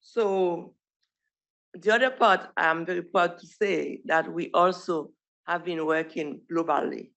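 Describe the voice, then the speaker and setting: A middle-aged physician from Haiti with a Haitian accent, giving an informal, halting presentation full of restarts. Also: medium pitch, fairly even intonation, a bit bright, rough recording. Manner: halting, with frequent restarts and self-corrections. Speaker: physician presenting a residency program. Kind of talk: informal presentation at an online showcase.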